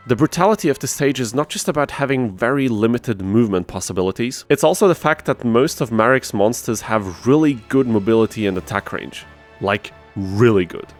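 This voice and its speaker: A man hosting a gaming video, narrating a challenge run from a script with conversational, self-deprecating asides. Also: lowish voice. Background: music under the voice.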